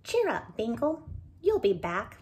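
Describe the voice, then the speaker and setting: Woman as fond old storybook lady, coaxing, lively. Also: on the high side, unhurried. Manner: coaxing, affectionate.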